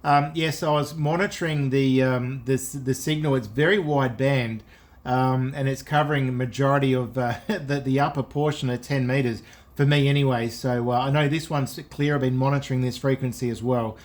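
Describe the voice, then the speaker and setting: A man's voice: mid-range voice, steady tone, normal speed. A man, an amateur radio operator, making casual on-air contact in a friendly, enthusiastic way.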